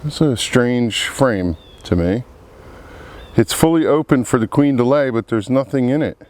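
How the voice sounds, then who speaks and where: A man with a cold and a froggy, congested voice, talking slowly through a beehive inspection outdoors among buzzing bees. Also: medium pitch, darkish tone, a little hiss or hum. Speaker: beekeeper. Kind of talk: casual hive inspection commentary. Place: outdoor apiary.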